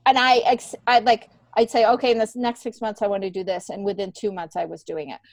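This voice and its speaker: A woman's voice: medium pitch, a little fast, no echo. Middle-aged woman chatting candidly, dry sound. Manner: animated storytelling, acts out remembered dialogue. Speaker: comedian and TV worker, podcast guest. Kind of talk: casual, candid interview chat.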